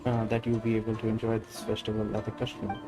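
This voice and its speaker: A man's voice: on the low side, completely flat delivery, fast, close to an everyday voice. A man voicing a polite, gracious woman florist from a video game, formal and explanatory. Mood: courteous, inviting. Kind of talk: reading video game dialogue aloud. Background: game music.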